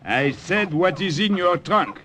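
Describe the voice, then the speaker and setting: An actor playing a brusque, suspicious checkpoint guard in an old radio drama. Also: male voice, high voice, animated, unhurried, pushed and bright, exaggerated voice. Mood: suspicious, commanding. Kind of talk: 1940s-50s radio drama acting. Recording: noisy vintage radio recording.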